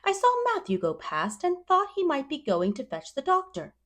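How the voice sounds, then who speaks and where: A woman's voice: high voice, big pitch swings, normal speed, a bit bright, pitched above the performer's own voice. A woman voicing a nosy, outspoken neighbor in a storybook, emphatic and disapproving. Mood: prying. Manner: shocked, emphatic, scolding.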